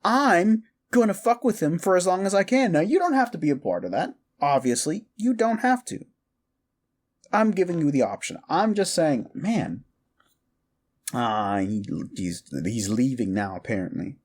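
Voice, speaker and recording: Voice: mid-range voice, sing-song, moderate pace, darkish tone. A performer of unclear sex playing a mischievous, exasperated modern necromancer in a comedic audio roleplay, rambling casually and swearing with incredulity. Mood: sheepish justifying, then hushed urgency. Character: mischievous, exasperated necromancer prankster, gleefully amoral.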